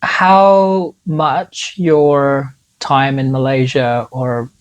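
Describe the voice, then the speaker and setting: A man's voice: mid-range voice, steady tone, drawn-out words. Hesitant male podcast host. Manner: hesitant, many fillers and restarts. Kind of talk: casual interview.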